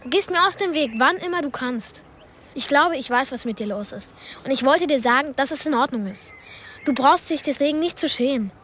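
An actress playing a forthright, earnest schoolboy in a radio play, blunt and slightly precocious. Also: female voice, sits high, expressive, brisk, a bit bright, a little hiss or hum. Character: forthright, earnest boy, the heroine's school friend.

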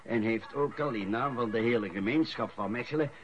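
A man's voice: mid-range voice, fairly quick, natural voice. An actor playing a man formally reading out a news report in a radio drama. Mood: solemn, formal. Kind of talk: Dutch-language radio drama acting. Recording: dull lo-fi recording, faint music.

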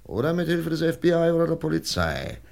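An actor playing a methodical, persuasive FBI investigator in a German radio crime drama, measured, lecturing and emphatic. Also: male voice, a little high, sing-song, creaky, a little mellow.

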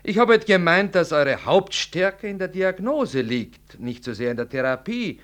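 A man's voice: high-pitched, lively intonation, unhurried, fairly bright tone. An actor in a radio drama, playing an outwardly amiable male doctor who talks politely, with ingratiating insinuation. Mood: veiled criticism.

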